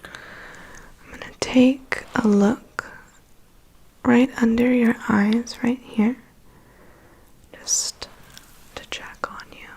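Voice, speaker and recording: Slow whispering woman in ASMR roleplay. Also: fairly high pitch, fairly even intonation. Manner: soft, unhurried, gently attentive with reassuring murmurs. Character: vampire's assistant doing a gentle, methodical medical inspection.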